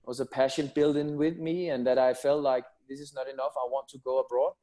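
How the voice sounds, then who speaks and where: Man, mid-pitched, earnest, reflective, personal storytelling, interview guest. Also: steady tone, a little fast, mellow. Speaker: football academy founder and guest.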